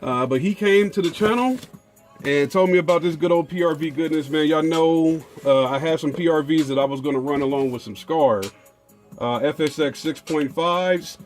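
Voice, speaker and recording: A man's voice: on the high side, normal speed, soft background music. A man, chatting casually as he unboxes car audio gear, rambling with lots of fillers and restarts.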